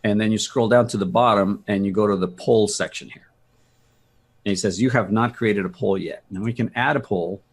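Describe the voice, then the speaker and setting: A man with a gravelly, husky voice giving a casual software walkthrough on a video call, thinking aloud hesitantly. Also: fairly low pitch, normal speed. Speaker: guest demonstrating software.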